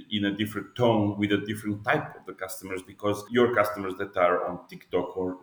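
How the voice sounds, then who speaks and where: A man's voice: lowish voice, fairly bright tone, roomy sound. A man from Serbia with a Serbian accent, giving explanatory interview answers step by step with examples. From Belgrade, Serbia. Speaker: podcast guest, e-commerce business owner.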